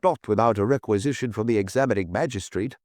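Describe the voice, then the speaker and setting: A man's voice: on the low side, fast, no room sound, studio quality, lightly acted. A man voicing a curt, discreet notary in an audiobook, clipped, formal and unforthcoming. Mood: guarded, clipped. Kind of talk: audiobook character dialogue.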